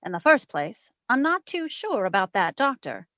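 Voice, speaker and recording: A woman playing a sharp, wry private investigator in an old-time radio detective drama, narrating and trading teasing endearments. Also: fairly high pitch, big pitch swings, normal speed, no room sound. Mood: fond, suspicious.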